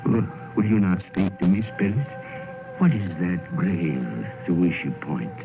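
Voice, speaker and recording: An actor playing a terrified, repentant old miser in an old radio play, with a quavering, pleading delivery. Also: male voice, sits low, measured pace. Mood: dread. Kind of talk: 1930s radio drama acting. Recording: tinny old radio broadcast with music.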